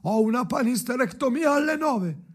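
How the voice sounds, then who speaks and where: A man's voice: very high voice, animated, normal speed, husky, voice pushed up, full character voice. A man playing an exasperated, indignant doctor protesting emphatically in an experimental radio drama. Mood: exasperated, shouting. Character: exasperated doctor Holloway, protesting loudly to his old friend.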